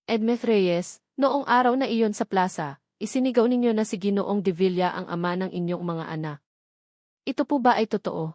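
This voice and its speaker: A woman's voice: mid-range voice, normal speed, a little mellow, no echo, clean studio sound, close to an everyday voice. A Filipino woman voicing a serious, formal female television interviewer within a story narration. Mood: formal, probing.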